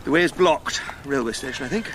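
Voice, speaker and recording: An actor playing a distraught, domineering Edwardian English husband in a period radio drama, agitated, stammering and imperious. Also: male voice, medium pitch, fairly quick, noisy background, close to an everyday voice. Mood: insistent.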